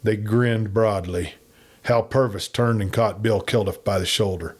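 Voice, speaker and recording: A man's voice: lowish voice, fairly even intonation, a little slow. A man reading a western story as a scripted, dramatic audiobook narration.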